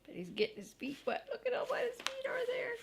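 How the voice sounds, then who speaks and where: An older woman reading a children's storybook aloud in an expressive, grandmotherly way, drawing out key words and adding chatty asides for young listeners. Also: extremely high pitch, fast, creaky, noisy background.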